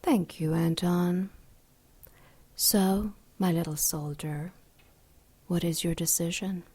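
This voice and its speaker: Ancient demon seductress, lowish voice, silky, deliberate, mocking, imperious, audio drama menace. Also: female voice, steady tone, a little mellow, slightly rough. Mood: seductive.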